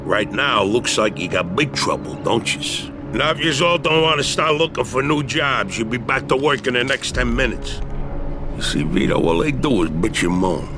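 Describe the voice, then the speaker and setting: An actor playing a bullying, callous mob boss in a video game, speaking blunt, coarse street talk with an American accent. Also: male voice, mid-range voice, lively intonation, moderate pace, music in the background, natural voice. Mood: menacing. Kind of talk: video game cutscene acting.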